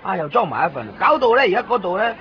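A man's voice: high-pitched, big pitch swings, quick pace. An actor playing a chatty, scatterbrained, gushingly friendly comic man in a radio drama, with a Hong Kong accent and a rambling, exclamatory manner. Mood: gossipy. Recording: muffled radio recording, noisy crowd behind.

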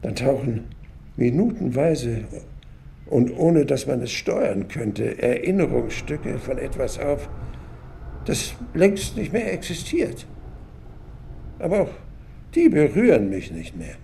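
A man's voice: medium pitch, very animated, vocal fry, dark tone, slight background noise, heavily acted. An actor playing a weary, reflective man in his nineties, musing wryly in a radio drama monologue. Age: older. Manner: musing, deliberate, wry.

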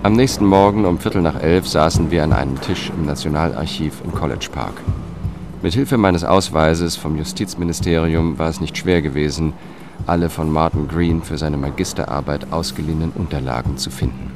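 An actor playing a dogged, tense male investigator who tells his own thriller in a sober, measured way in a radio drama. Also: very deep voice. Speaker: first-person narrator and lead character. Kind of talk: radio drama narration and dialogue. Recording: music under the voice.

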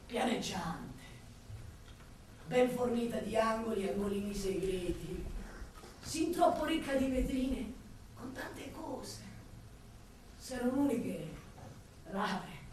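Slow, echoing, wistful mother. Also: fairly high pitch, animated, a little mellow. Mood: nostalgic. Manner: lyrical, deliberate, with lingering pauses and repeated words. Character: grieving city-born mother, wistful, tender, bitter. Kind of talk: theatrical monologue for stage or radio drama. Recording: echoey hall, distant mic, faint noise.

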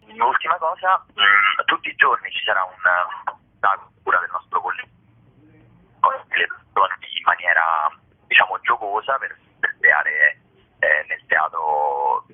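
Guy with a low raspy voice, explaining stuff hesitantly, sounds like a phone call on radio. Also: very animated, a little slow. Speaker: theatre festival organiser and presenter. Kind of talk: informal promotional interview. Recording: muffled lo-fi phone-like radio line.

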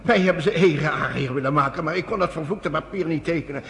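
A man's voice: fairly high pitch, quick pace, fairly bright tone. An actor playing an anguished middle-aged professor, a father of three, pleading in a radio play. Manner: pleading, desperate, voice breaking upward. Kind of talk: radio drama acting.

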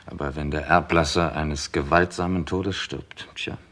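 Low-voiced, sceptical chief inspector. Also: male voice, fairly even intonation, a bit bright, exaggerated voice. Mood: measured, probing. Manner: measured, dry, probing questioner. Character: composed, sceptical male police chief inspector. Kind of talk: German radio crime drama acting.

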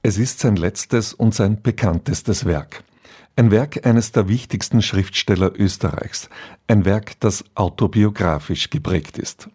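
A male radio presenter with a low, mellow voice that has a husky edge. He reads a scripted documentary introduction soberly and factually. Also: unhurried.

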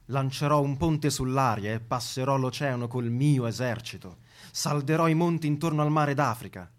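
A male actor as an ambitious scholar in a stage tragedy. He declaims grandly in a medium-pitched voice, hungry for power over the world. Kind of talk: theatrical dramatic monologue.

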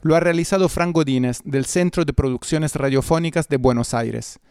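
A man's voice: a little high, moderate pace, a little mellow, smooth, dry recording, studio quality. A middle-aged man presenting a radio programme as its host in a scripted style.

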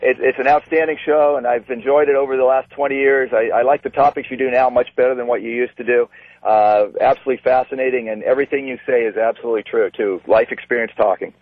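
A man in his forties, calling in over a tinny, dry phone line. He talks quickly and gushes with praise. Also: mid-range voice, steady tone. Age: 40s. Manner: effusive, praising, repetitive. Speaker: caller.

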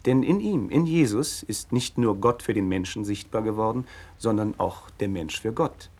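Radio drama teacher of religion: learned, measured, patient explainer, low and husky. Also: male voice, animated, a little mellow. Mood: explanatory. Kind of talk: German radio play dialogue, didactic.

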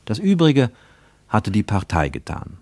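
A man reading scripted literary narration for radio, with measured, rhetorical and emphatic phrasing. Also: on the low side, huge pitch swings, darkish tone, no room sound.

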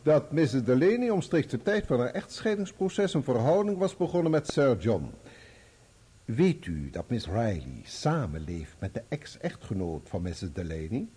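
A man acting in a radio play as a sharp, insistent barrister, formal, pointed and sardonic as he questions a witness. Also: mid-range voice, sing-song, moderate pace, breathy edge. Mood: probing, formal. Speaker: actor playing a lawyer.

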